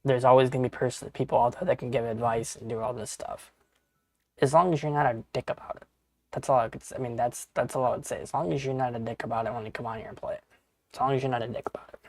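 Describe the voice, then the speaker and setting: A man's voice: mid-range voice, steady tone, quick pace, darkish tone, no room sound. A man in his thirties chatting casually with viewers while playing a game on a live stream, rambling and swearing, often calling people bro and dude. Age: 30s.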